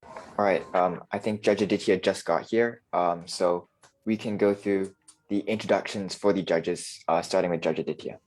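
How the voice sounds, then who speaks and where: A man's voice: sits low, fairly even intonation, moderate pace, darkish tone, low-quality recording. A man acting as moderator of an online debate, giving orderly procedural announcements with frequent fillers, over a video call.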